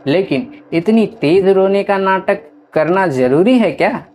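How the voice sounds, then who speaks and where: Woman as a slow-spoken, teasing holy man. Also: medium pitch, lively intonation, dark tone, lightly acted.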